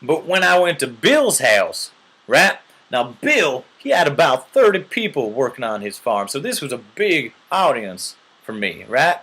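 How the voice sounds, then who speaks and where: A man with a medium-pitched voice spins a long, earnest tale. He rambles on in a mock-serious tone and keeps checking in with the listener. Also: big pitch swings, measured pace, a bit bright, slightly rough. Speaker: storyteller.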